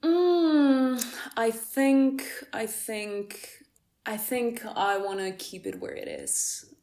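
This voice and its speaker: A woman's voice: on the high side, big pitch swings, very slow, warm tone. A young woman from Cyprus, a musician chatting in an interview, laughing often and restarting her sentences. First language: Greek. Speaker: musician guest. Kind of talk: casual interview chat.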